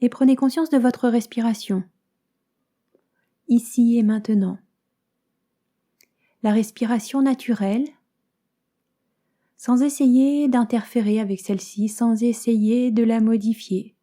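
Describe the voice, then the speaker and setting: Very mellow woman guiding meditation. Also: fairly high pitch. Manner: calm, soothing, gentle instructions with many pauses. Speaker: yoga nidra teacher guiding a relaxation session. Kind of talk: guided meditation.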